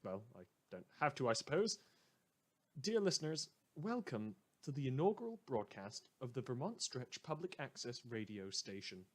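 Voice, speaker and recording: A man's voice: mid-range voice, very animated. Theatrical, wry radio news host, acted by a man. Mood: grandly welcoming. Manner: dramatic, florid, drily wry, deliberate emphasis. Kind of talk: scripted fictional community radio news monologue.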